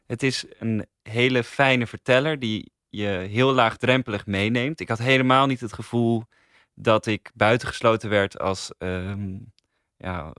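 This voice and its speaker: A man's voice: mid-range voice, a little slow, fairly bright tone, clear, resonant tone, no echo, studio quality. A man with a Dutch accent chatting casually as a podcast panelist. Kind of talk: casual chat.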